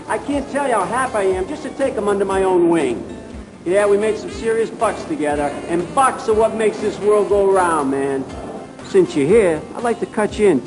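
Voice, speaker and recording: An actor playing a slick, oily crime boss in a video game cutscene, falsely genial and wheedling. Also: male voice, high voice, poor audio.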